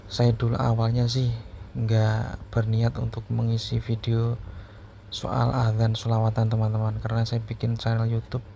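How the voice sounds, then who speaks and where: A man with a Central Javanese accent talks in an even, gravelly voice of average pitch. He explains things casually to his viewers, circling back over his points and checking in with them. The recording is dull and lo-fi. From Purwodadi Grobogan, Central Java, Indonesia. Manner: repeats himself, checks in with listeners. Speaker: YouTuber answering viewers' questions. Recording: muffled, lo-fi, faint background noise.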